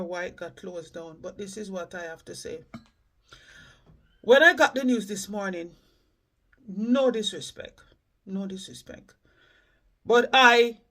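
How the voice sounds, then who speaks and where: A man from the Caribbean giving frank, earnest reaction commentary as a host. His voice sits high for a man and comes across measured. He sounds a little distant from the microphone. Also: a bit bright.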